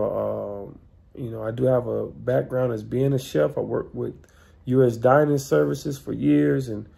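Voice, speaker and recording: A man in his thirties lies back on his living-room couch and talks into a phone held at arm's length. His voice is heavily gravelly and husky, with a dark, mellow tone. He rambles easily, filling his talk with "man" and "um". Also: mid-range voice. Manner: relaxed and rambling, lots of "um" and "man", lying back. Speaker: vlogger and fitness cook. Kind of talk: casual chat.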